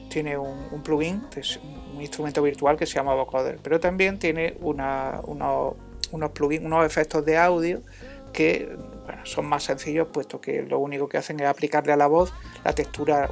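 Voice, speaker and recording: A man narrating an informal music software tutorial as an instructor, in a halting, hesitant way. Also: fairly high pitch, creaky.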